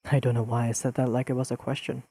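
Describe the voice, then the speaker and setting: A man playing an earnest, awkwardly analytical young best friend in an intimate audio roleplay, speaking haltingly and carefully to the listener. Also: medium pitch, level tone, husky, dry recording. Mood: careful, explaining. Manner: halting, careful, softly pleading, slightly formal wording.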